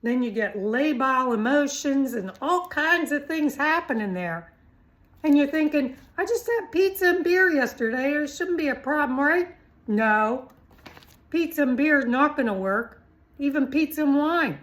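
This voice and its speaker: A woman's voice: sits high, expressive, a little slow, fairly bright tone. A middle-aged woman giving an informal, chatty health talk, blunt and teasing, firing rhetorical questions at the listener. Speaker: health vlogger.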